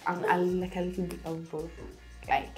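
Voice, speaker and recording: A young woman from Kenya, a singer, answering interview questions in a relaxed, chatty way with lots of "like" and "um". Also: lowish voice, normal speed, soft background music. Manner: relaxed, chatty, many fillers like "like" and "um". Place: indoors, seated before a stone-look backdrop.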